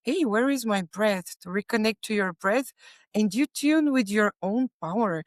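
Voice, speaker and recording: A woman from Bulgaria, a plant medicine facilitator, speaking as an interview guest in a soft, earnest way with frequent pauses and fillers. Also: medium pitch, expressive, no echo, clean studio sound. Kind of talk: casual interview talk.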